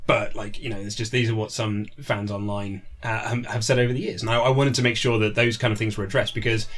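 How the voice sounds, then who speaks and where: A man's voice: fairly low pitch, quick pace, slight background noise. A British man, an author being interviewed, talks casually and enthusiastically about his subject. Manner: enthusiastic, animated film-fan talk.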